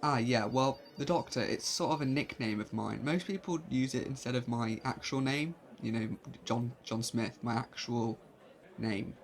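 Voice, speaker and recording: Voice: medium pitch, normal speed, natural voice. A performer playing an eccentric, cheerful time traveller at a job interview, playful and rambling, in a fan audio drama. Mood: cheerful, sheepish.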